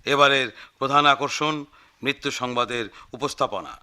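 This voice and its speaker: Fussy newspaper manager, piercingly bright tone, effusive, hesitant, radio play. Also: male voice, medium pitch, moderate pace, smooth. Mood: grand, persuasive. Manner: effusive, repetitive, stammering "eh" hesitations. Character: fussy, deferential newspaper office manager, bossy to the peon. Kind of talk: Bengali radio drama acting.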